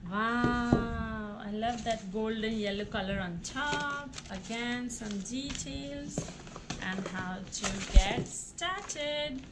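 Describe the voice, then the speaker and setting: A woman's voice: fairly high pitch, slow pace. A woman hosting a casual unboxing video, talking through each step aloud in an exclamatory way, on a noisy recording. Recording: clearly noisy home recording with clicks.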